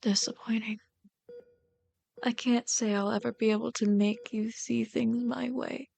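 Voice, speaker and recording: A woman's voice: fairly high pitch, level tone, creaky, warm tone. A woman playing an obsessive, lovelorn villain in an ASMR roleplay, bitter and pleading, speaking intimately and dramatically with frequent drops toward a whisper. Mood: resigned. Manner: slow, intimate, dramatic delivery, often dropping to a whisper.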